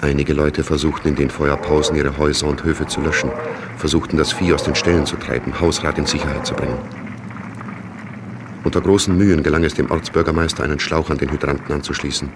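A very deep, gravelly narrator gives sober documentary narration in a radio play. His delivery is measured, grave and narrow in range, over loud battle noise. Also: level tone. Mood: grave, factual. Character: sober male documentary narrator of wartime events.

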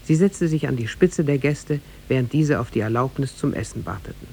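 A man narrating a tale in a scripted, measured and formal 1950s radio drama style. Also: mid-range voice, expressive, gravelly, mellow. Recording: noisy 1950s radio recording.

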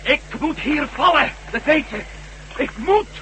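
An actor playing an emotional, struggling former astronaut hero with intense, halting delivery in a science fiction radio drama. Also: male voice, very high-pitched, expressive. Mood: desperate, strained. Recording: faintly noisy old radio recording.

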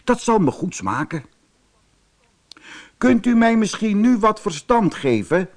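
A man's voice: high-pitched, very animated, a little slow, a little mellow, pitched above the performer's own voice, exaggerated voice. Een man die een sluwe, hongerige tijger speelt in een sprookje, vleierig, zelfingenomen en snel ongeduldig. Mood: gloating. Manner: wheedling, self-satisfied, impatient when crossed. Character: sly, vain, hungry male tiger, falsely polite. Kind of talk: storybook character voice.

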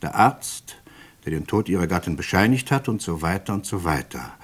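Husky, lively-pitched, courteous police inspector. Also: male voice, normal speed. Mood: politely insistent. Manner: formal, politely insistent. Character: courteous, persistent, suspicious British police inspector. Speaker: actor. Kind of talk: German radio play dialogue.

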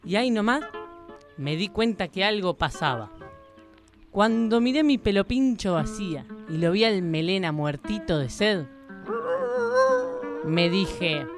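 Animated, brash Argentine woman sleuth played by an actress. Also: on the low side, slow, long pauses. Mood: suspicious, determined. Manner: colloquial, exclamatory. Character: brash, slangy small-town female amateur sleuth who narrates her adventures. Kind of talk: live radio play acting. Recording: music behind the voice.

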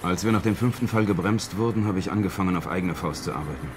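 An actor playing a matter-of-fact secret service investigator briefing a colleague in a radio play, in a dry, clipped manner. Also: male voice, low-pitched, quick pace, noisy background, natural voice. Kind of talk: 1970s German radio play dialogue.

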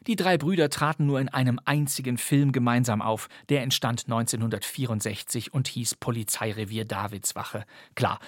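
A man's voice: mid-range voice, no echo, studio quality. A man hosting a podcast in scripted, presenter-style narration. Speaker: podcast host.

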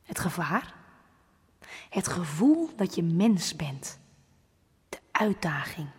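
Slow, raspy, thoughtful woman, long reverb. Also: on the low side, very animated, a little mellow, exaggerated voice. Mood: reflective. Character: adult Tijne, reflective, well-traveled woman.

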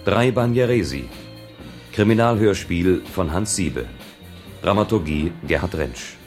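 A male radio announcer with a very low voice reads closing credits formally. Guitar music plays behind him. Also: expressive, unhurried, a little mellow.

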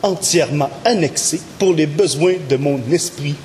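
A man's voice: on the high side, moderate pace, darkish tone, close to an everyday voice. A man playing a gloating mad villain in an audio drama, theatrical and mocking, mixing in English words. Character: gloating mad villain boasting of his tower-top lair. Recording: long reverb, clear noise behind.

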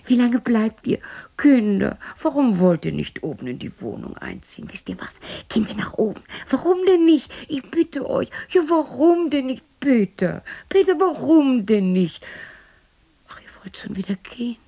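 Casting: lonely, defiant widow, sixties, radio play. High, husky voice, theatrical, talking to herself. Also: female voice, very animated, unhurried, full character voice. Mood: eager, pleading, then resigned, subdued. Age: 60s. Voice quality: husky, breathy, creaky. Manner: theatrical, muttering to herself, swinging into shouts. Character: lonely, eccentric, defiant sixty-year-old German widow talking to herself. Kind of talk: radio drama monologue acting.

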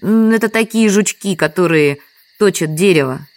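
An actress playing a meek, apologetic and quietly stubborn village hostess in an audio drama. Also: female voice, fairly low pitch, normal speed, no room sound, natural voice. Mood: patient explaining. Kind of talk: Russian audio drama dialogue.

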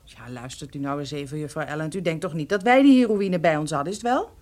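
A woman's voice: low voice, fast talker, darkish tone, close to an everyday voice. An actress playing an outspoken, exasperated female sidekick in a radio drama, talking in an emphatic, colloquial way. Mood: indignant. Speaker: supporting actress. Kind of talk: radio detective drama acting.

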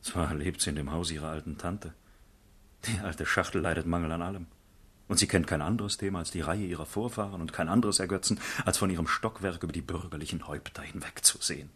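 A sardonic, world-weary gentleman of a period radio drama. His voice is very low and husky. He talks theatrically, as if sharing mocking confidences. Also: fast. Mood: eager, confiding. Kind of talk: German literary radio play acting.

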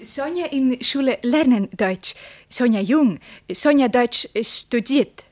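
A woman's voice: on the high side, lively intonation, takes it slowly, darkish tone. A woman playing an apologetic Russian interpreter who struggles through broken German with a Russian accent in a radio play. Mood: apologetic, halting.

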